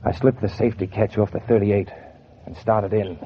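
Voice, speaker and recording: A man's voice: fairly low pitch, steady tone, normal speed, husky. An actor playing a bitter, cold-blooded man bent on revenge, narrating in brooding 1940s radio noir style with sardonic deliberation. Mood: grim, determined. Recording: dull, noisy 1940s radio recording.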